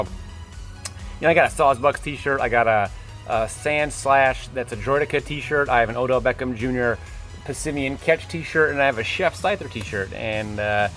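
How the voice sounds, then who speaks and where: A man's voice: mid-range voice, a bit bright. A man of twenty-eight talking casually as a vlog host, rambling and self-deprecating as he wanders into tangents. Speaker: YouTube creator and host.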